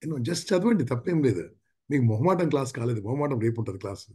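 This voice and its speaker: A man's voice: mid-range voice, very animated, fast, a little mellow, slightly rough. A man hosting a group session, giving casual announcements to the participants. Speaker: session host.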